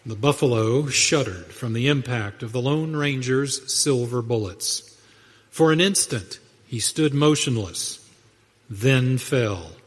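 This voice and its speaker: A man's voice: mid-range voice, expressive, slow. A man narrating a western radio drama in a scripted, dramatic storytelling style. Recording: reverberant hall sound.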